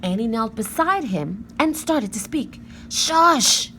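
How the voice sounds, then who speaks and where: Animated woman reading a children's story. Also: a little high, a little slow, slight background noise. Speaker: storybook reader. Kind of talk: expressive children's book narration.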